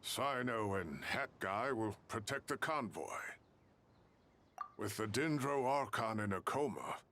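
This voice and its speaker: A man's voice: on the low side, expressive, a little slow, fairly bright tone. A man voicing an earnest, articulate scholar in a dramatic video game scene. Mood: grave. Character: earnest adult male scholar who studies the ley lines.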